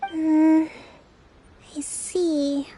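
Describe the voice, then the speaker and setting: A woman voicing a gentle, composed young priestess leader in a video game, with polite, measured delivery. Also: high-pitched, flat delivery. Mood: wistful. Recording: music behind the voice.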